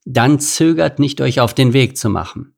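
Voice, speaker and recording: A man voicing a solemn, reassuring lion king in a children's storybook, addressing his subjects gravely and in measured phrases. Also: mid-range voice, lively intonation, a little mellow, pitched above the performer's own voice. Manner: grave, measured speech-making.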